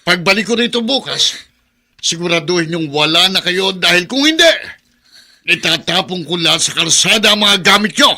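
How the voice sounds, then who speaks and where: A man playing a greedy, domineering middle-aged brother-in-law with a Filipino accent, forceful and sneering in an audio melodrama. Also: high-pitched, expressive, moderate pace, full character voice. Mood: angry threatening. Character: greedy, bullying brother-in-law, domineering older male villain. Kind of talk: melodramatic radio drama acting.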